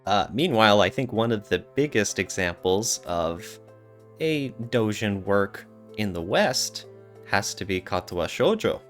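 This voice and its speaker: Male podcast co-host, low-pitched, casual explanatory discussion, music behind the voice. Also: animated, unhurried.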